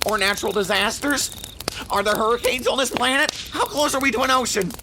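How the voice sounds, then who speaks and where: A woman's voice: fairly high pitch, a little fast, lightly acted. A woman playing a squeamish, fussy, anxious space traveler in an audio drama, whiny and hesitant. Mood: worried. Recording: insect-filled jungle noise behind.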